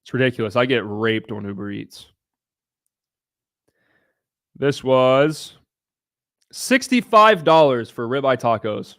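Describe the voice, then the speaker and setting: A man's voice: mid-range voice, expressive, takes it slowly, pauses often, a bit bright, dry recording, clean studio sound. A young man from Southern Ohio hosting a casual livestream, answering viewers' questions in a brash, blunt way and swearing freely. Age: young adult. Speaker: livestream host.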